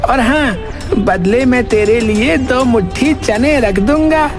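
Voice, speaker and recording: Lady doing a sly stingy shopkeeper in a cartoon tale, dragging words out super slow, music behind. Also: fairly high pitch. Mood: dismissive. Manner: wheedling, dismissive. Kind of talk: cartoon moral story acting.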